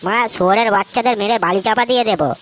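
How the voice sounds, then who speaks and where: A woman's voice: medium pitch, rapid-fire, close to an everyday voice. A woman voicing a pushy, foul-mouthed young lad who wheedles as a sidekick in a comedy cartoon. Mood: scheming. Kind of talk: Bengali comedy cartoon voice acting. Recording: noisy audio with clicks.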